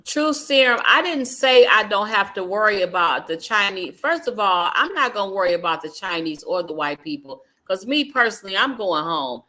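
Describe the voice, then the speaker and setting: A woman's voice: mid-range voice, lively intonation, moderate pace, hardly any pauses, sharp tone. A woman hosting a livestream discussion, speaking bluntly and emphatically. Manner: blunt, emphatic, talks over points. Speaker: livestream host.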